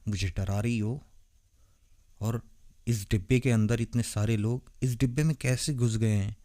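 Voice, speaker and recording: A man's voice: on the low side, fairly even intonation, unhurried, mellow, dry recording, studio quality, natural voice. A man playing a naive, intense and protective young vampire boyfriend in a romantic ASMR audio drama, earnest and dramatic. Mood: bewildered, agitated. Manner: earnest, dramatic, puzzled questioning.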